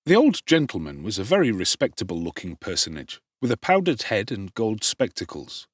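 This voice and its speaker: Deep, expressive male audiobook narrator. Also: husky, dry recording, studio quality. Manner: measured, formal storytelling with expressive emphasis. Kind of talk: scripted narration of a classic novel.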